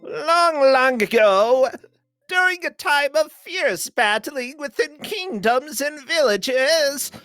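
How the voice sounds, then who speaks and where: A woman voicing a grand storybook narrator, reading an ancient legend aloud slowly and theatrically. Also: sits high, a bit bright, voice pushed up, full character voice. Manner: slow, theatrical read-aloud. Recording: faint music behind.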